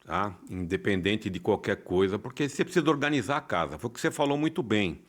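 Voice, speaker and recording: A man's voice: fairly low pitch, expressive, fast. A man with a Brazilian accent explaining his work conversationally as a guest on a podcast. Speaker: podcast guest, financial consultant. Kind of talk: conversational interview talk.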